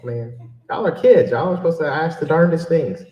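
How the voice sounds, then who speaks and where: A man's voice: a little high, brisk, highs cut off. Echoey man speaking casually to an audience. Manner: joking, self-deprecating, animated when quoting himself. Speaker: keynote speaker and children's book author. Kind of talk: informal audience Q&A talk. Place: public library event room. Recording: echoey room, mic at a distance.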